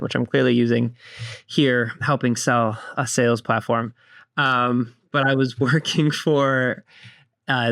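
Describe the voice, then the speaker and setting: A young man hosts a podcast in a chatty, self-deprecating way and laughs often. His voice sits at a middle pitch. The recording is dry and close. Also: creaky, a bit bright, dry recording. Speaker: podcast host. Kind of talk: casual podcast chat.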